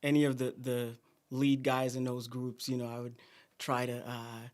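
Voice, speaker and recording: A middle-aged man from Guyana, a singer, chatting in an interview in a soft, halting way with many fillers. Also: medium pitch, completely flat delivery. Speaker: guest singer. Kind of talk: casual interview chat.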